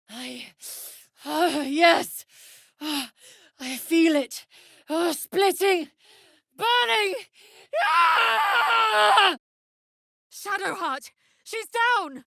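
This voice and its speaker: An actress playing a fierce, proud alien warrior woman in a video game, with a raspy voice and curt, imperious, formal diction. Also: sits high, sharp tone, no echo, studio quality, exaggerated voice. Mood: agonized, urgent.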